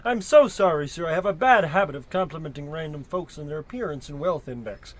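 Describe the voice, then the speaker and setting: A man's voice: fairly high pitch, lively intonation, brisk, lightly acted. A man playing an eager, fawning street hot dog vendor in a radio comedy.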